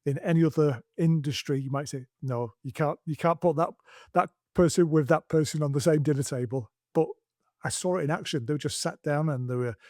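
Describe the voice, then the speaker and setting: A man's voice: fairly high pitch, darkish tone, no echo, clean studio sound. A man hosting an interview, telling stories casually and repeating words as he thinks. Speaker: podcast host.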